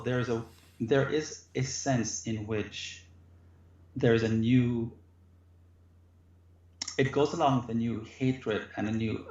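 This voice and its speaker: A man, a guest professor, speaking in a measured, deliberate, earnest way over a remote call. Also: medium pitch, vocal fry. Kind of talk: interview conversation. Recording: echoey, dull lo-fi remote call audio.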